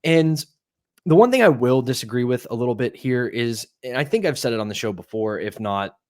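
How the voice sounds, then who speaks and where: A man's voice: mid-range voice, animated, brisk, darkish tone, no echo, clean studio sound. A man co-hosting a sports talk podcast, giving his opinions in a conversational way. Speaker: podcast co-host.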